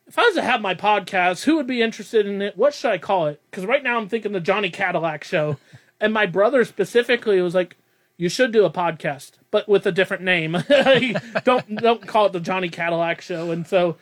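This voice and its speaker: A man's voice: sits high, brisk. A young man hosting a casual podcast, rambling and self-deprecating, laughing often. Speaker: podcast host.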